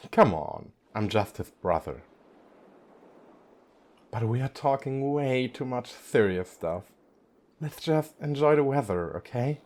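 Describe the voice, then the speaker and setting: A man playing a gentle, weary young femboy sea god in an intimate ASMR roleplay, speaking softly and hesitantly with frequent pauses. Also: medium pitch, lively intonation. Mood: playful, tender. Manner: soft, hesitant, with frequent pauses and small chuckles.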